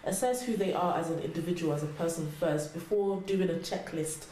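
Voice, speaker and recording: A young adult woman explaining her view in a measured way as a guest in a casual panel discussion. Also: lowish voice, warm tone. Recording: echoey room, faint noise.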